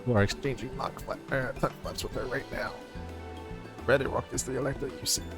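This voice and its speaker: A man's voice: medium pitch, steady tone, fairly quick, music in the background, natural voice. A man voicing a folksy little goblin-like villager from a video game, with garbled, oddly phrased speech full of doubled words. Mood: earnest, troubled. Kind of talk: reading game dialogue aloud in character.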